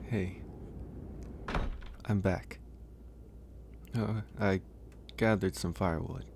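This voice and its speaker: Casting: male actor as caring, slightly shy werewolf for ASMR audio roleplay; very low, slow, soft delivery. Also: fairly even intonation, creaky, a little hiss or hum, heavily acted. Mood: casual, friendly. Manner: soft, hesitant, pausing. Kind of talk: ASMR roleplay, intimate one-sided dialogue.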